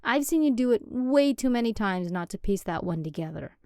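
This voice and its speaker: A woman's voice: a little high, animated, a little fast, a little mellow, clean tone, dry recording, studio quality. A woman playing a chatty, affectionate demon girlfriend. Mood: playful. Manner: rambling, affectionate, sometimes whispering. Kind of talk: ASMR girlfriend roleplay.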